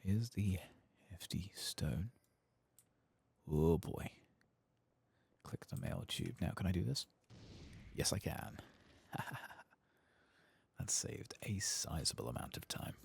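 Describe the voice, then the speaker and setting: A man with a low, very husky voice gives soft ASMR game commentary. It crackles with fry, and he murmurs his way along as if to himself, sometimes in a whisper. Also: very animated, slow, pauses often, no room sound. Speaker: host of a game playthrough channel.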